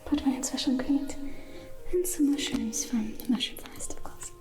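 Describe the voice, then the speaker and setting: A woman's voice: sits high, natural voice. Whispering elf mage, played by a woman. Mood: soothing, whimsical. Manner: slow, soothing, softly theatrical. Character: gentle whimsical female elf mage hosting in magic tent. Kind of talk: whispered ASMR fantasy roleplay. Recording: close whispered voice over music. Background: music.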